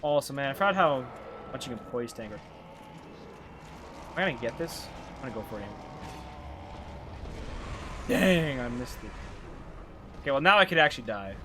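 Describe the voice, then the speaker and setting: A man's voice: mid-range voice, lively intonation, brisk, pauses often, background noise. A man with a Canadian accent giving casual live gameplay commentary, rambling and slangy as he thinks aloud. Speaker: game streamer.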